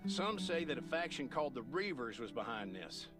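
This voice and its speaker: A man's voice: mid-range voice, very animated, normal speed, loud music behind the voice, close to an everyday voice. An actor voicing a grave, cautious male leader of a survivor camp, giving earnest briefings in a video game. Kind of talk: video game quest dialogue.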